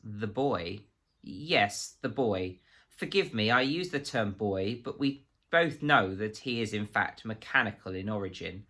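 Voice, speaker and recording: A coldly courteous official interrogator from a storybook, as a male reader puts him on. He asks his questions in precise, formal phrases with a light conversational surface and quiet menace beneath. The voice is crisp-edged and bright. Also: on the low side, lively intonation, unhurried, full character voice. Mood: formal, probing.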